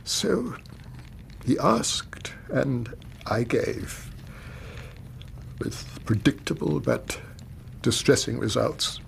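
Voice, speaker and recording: Troubled english banker dad, middle-aged, creaky voice, formal and halting, radio drama, bit of background noise. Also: male voice, medium pitch, very animated, fairly bright tone, full character voice. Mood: regretful. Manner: formal, halting, agitated storytelling. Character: anxious, grieving middle-aged widowed banker and doting father.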